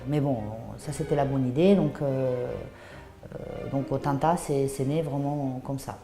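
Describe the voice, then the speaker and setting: Low-voiced Italian woman in her thirties, echoey room. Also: steady tone, unhurried, music in the background. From Puglia, southern Italy. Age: 30s. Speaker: artisan cheesemaker and shop founder. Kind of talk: personal interview account of her craft.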